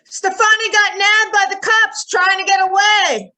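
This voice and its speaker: Radio drama reporter, played by a woman: very high, bright, urgent and excited. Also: measured pace, a faint crowd, exaggerated voice. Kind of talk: old-time radio drama acting.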